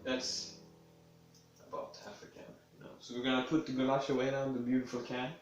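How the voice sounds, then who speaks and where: A man's voice: mid-range voice, completely flat delivery, a little fast, dull audio. Casting: young guy, sniffly cold, rambling and crude, recorded from a distance in a very echoey kitchen. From Sweden. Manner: rambling, offhand, swears casually. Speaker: home cooking video host. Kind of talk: casual cooking demonstration. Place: home kitchen. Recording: echoey room, mic at a distance, faint music.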